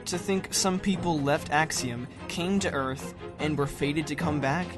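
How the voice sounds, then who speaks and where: Skeptical young sci-fi hero played by an actor, questioning and bewildered, mid-pitched, over music. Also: unhurried, close to an everyday voice. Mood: incredulous. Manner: incredulous, questioning. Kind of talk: amateur anime-parody drama acting.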